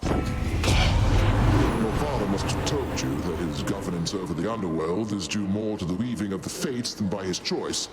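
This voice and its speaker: A man's voice: sits low, lively intonation, a little slow, loud music behind the voice. An actor playing a grand, self-satisfied king of the gods in a video game, pompous and genial. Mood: smug, genial. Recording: loud ambient noise behind.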